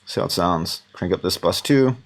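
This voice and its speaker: A man teaches sound mixing while he works, explaining each step as he goes. His voice is fairly low. He rambles a little and fills pauses with ums. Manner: relaxed, rambling, many fillers. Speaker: audio instructor. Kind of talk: thinking-aloud mixing demonstration.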